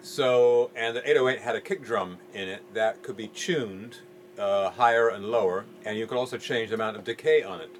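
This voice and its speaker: Middle-aged English man raised in Jamaica, low voice, laid-back and halting interviewee, faint noise behind. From England, raised in Jamaica. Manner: easygoing, hesitant with many ums. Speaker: music producer guest. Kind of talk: relaxed interview answers.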